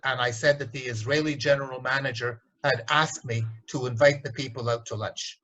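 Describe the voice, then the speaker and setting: Blunt, animated man on a lo-fi call. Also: medium pitch. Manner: blunt, animated, mimics voices in stories. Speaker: organisation development consultant. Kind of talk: candid interview storytelling.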